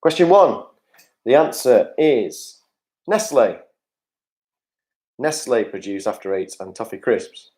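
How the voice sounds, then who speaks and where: A man's voice: medium pitch, very animated, slow pace, pauses often, a little mellow. Guy running a virtual pub quiz, reads answers out, cracks jokes, video call sound. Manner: jokey, chatty asides to players, reads questions aloud. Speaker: quiz host.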